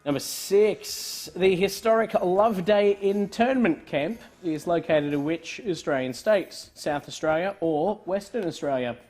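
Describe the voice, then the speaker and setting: Man from scotland, quiz host, slightly high voice, jokey, faint music underneath. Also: measured pace, few pauses. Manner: jokey, laughing often, trailing off quietly. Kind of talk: live online pub quiz hosting.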